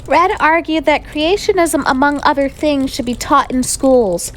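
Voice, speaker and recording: A woman's voice: high-pitched, a little slow. A woman playing a confident, cheeky teenage debate researcher in an amateur radio drama, reporting informally.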